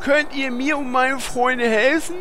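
Very high, squeaky naive hero. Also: male voice, steady tone, moderate pace, pushed and bright, a little hiss or hum. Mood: eager. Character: timid, naive, chatty little hero named Horst. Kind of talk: audio drama parody acting.

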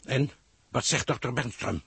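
An actor playing a solemn, deeply moved prime minister in a 1960s radio drama, with grave, oratorical phrasing. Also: male voice, normal speed, vocal fry, a bit bright, husky, full character voice.

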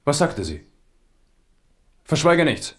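A man's voice: fairly high pitch, very animated, slow pace, full character voice. An actor playing a wry, commanding French king in a German radio drama, terse, probing and brooding. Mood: suspicious probing.

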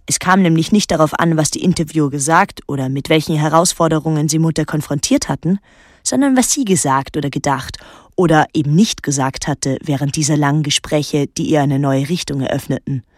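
An actress playing a wary, withdrawn teenage daughter who narrates her island story in a measured, introspective radio drama style. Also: deep voice, a little mellow, no room sound, studio quality. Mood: reflective.

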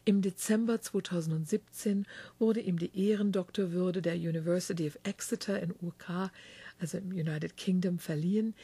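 Woman radio host, mellow husky voice, chatty reading with slips and self-corrections. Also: fairly low pitch, no room sound. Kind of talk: reading a guest biography, then interviewing. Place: backstage dressing room.

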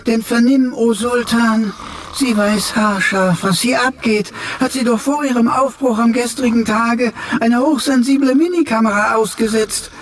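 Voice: mid-range voice, steady tone, measured pace, a little hiss or hum, natural voice. An actor playing a chatty, cheeky talking computer in a radio play, with flowery, mock-archaic, servile and theatrical speech.

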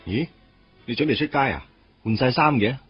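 A man's voice: mid-range voice, lively intonation, moderate pace, breathy edge, muffled recording, faint music behind. An actor with a Hong Kong Cantonese accent playing a wistful, teasing young man in a radio drama, speaking in a wry, colloquial way. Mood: incredulous, teasing. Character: wistful, teasing young man reuniting with his first love. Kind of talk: radio drama acting with narrated inner monologue.

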